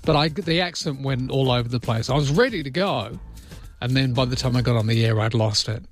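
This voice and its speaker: A man's voice: mid-range voice, brisk, soft background music. A man hosting early-morning talkback radio, chatty and self-deprecating, with rambling asides. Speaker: radio host.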